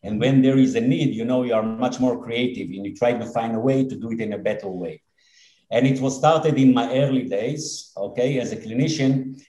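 A man in his fifties with an Israeli accent, a dentist and company head speaking as a podcast guest in an earnest, halting way with many fillers. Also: mid-range voice, dark tone, roomy sound, poor audio. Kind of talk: podcast interview.

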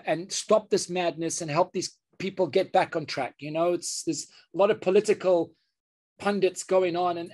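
Earnest male surgeon, interview guest, halting delivery with frequent restarts. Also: high-pitched, darkish tone. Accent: British. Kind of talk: interview conversation.

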